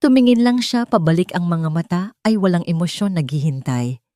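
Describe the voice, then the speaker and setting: A woman narrates a dramatic story in a low, mellow voice. The recording is very dry and close, with hardly any room around the words. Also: big pitch swings, a little fast, dry recording.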